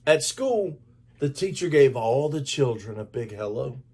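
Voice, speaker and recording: Slow-paced man reading a children's story. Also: mid-range voice, breathy edge. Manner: deliberate, expressive storytelling. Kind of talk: scripted story narration read aloud to children.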